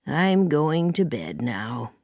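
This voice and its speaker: A quiet, shy older farmer with a lowered, hushed voice and a slow, halting way of speaking, as a woman reading a story puts him on. Every word comes out soft and careful, in a dry, close recording. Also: very animated, dry recording, full character voice. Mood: gentle. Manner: slow, soft-spoken, hesitant.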